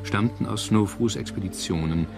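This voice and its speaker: A man's voice: on the low side, moderate pace, gravelly. A man reading scripted documentary narration as the narrator. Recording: music under the voice. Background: background music.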